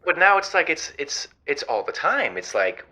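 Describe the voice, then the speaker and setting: A man playing a flustered, exasperated groom-to-be phoning an advice show, halting and stammering, on slightly dull phone-in sound. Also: on the high side, sing-song, pushed and bright, full character voice. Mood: baffled, cringing. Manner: halting, stammering, quotes dialogue animatedly. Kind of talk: improvised comedic phone-in.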